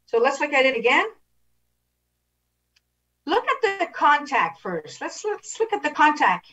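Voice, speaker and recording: A woman's voice: high voice, big pitch swings, measured pace, a bit bright, far from the mic. A middle-aged woman instructing referees over a video call, analysing clips with repeated phrases and rhetorical questions. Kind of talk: video analysis coaching at an online clinic.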